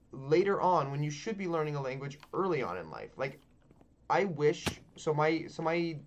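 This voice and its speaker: A man's voice: a little high, highs cut off, slight background noise. A man, chatting loosely over gameplay, full of ums, likes and casual swearing. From Texas. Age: 20s. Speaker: gaming channel host.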